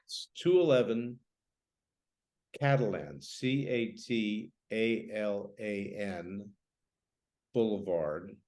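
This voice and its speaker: A man speaking as a writer in a casual literary conversation, halting and reflective, repeating phrases as he thinks. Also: on the low side, darkish tone. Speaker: writer, guest.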